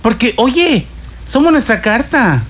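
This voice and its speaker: A woman's voice: a little high, very animated, slow pace, breathy edge. A Mexican woman co-hosting a chatty live radio show, talking expressively and emphatically in building, repetitive lists.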